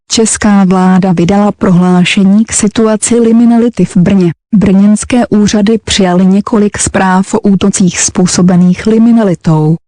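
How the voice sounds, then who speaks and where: A woman's voice: medium pitch, dark tone, dry recording. A woman announcer reading a scripted government emergency bulletin in a formal, impersonal manner. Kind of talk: scripted emergency broadcast narration.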